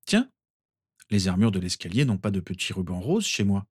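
A man reading aloud and voicing an earnest, good-humoured young wizard, with a husky voice. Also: low voice, sing-song, moderate pace, dry recording, clean studio sound, exaggerated voice. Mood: earnest, amused.

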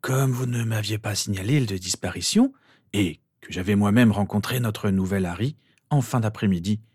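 Man playing Dumbledore, a measured old wizard headmaster. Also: lowish voice, a little mellow, husky, no room sound, clean studio sound. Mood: courteous, thoughtful. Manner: measured, courteous, pensive.

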